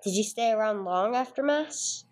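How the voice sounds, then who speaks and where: A woman's voice: on the high side, animated, normal speed, slight background noise, lightly acted. A woman playing a male police sergeant in an amateur radio play, terse and matter-of-fact as he narrates and questions. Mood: businesslike, probing. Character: male police sergeant, businesslike, narrating his own case. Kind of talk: 1950s police radio drama acting, amateur church production.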